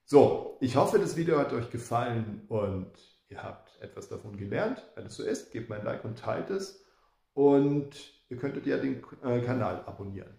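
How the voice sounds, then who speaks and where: A man in his fifties giving a German grammar lesson to the camera, explaining slowly and repeating example sentences. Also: medium pitch, expressive, gravelly, dark tone, echoey room. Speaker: German teacher. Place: indoor room with plants behind. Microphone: camera in front, head and shoulders.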